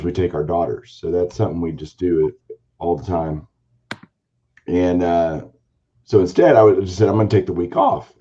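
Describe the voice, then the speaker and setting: A man hosting a casual card-collecting chat show, telling a long story in a rambling, animated way with a hoarse, raspy voice. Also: low-pitched, dull audio. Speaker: podcast host, baseball card collector.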